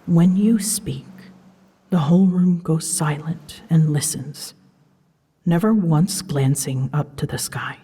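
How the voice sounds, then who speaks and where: A woman playing an ancient female dragon in a fantasy ASMR drama, solemn and tender, speaking softly in measured, formal phrases and often near a whisper. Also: low-pitched, exaggerated voice. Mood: tender, earnest. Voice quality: soft, breathy, husky, often dropping into a whisper. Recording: long cavernous reverb.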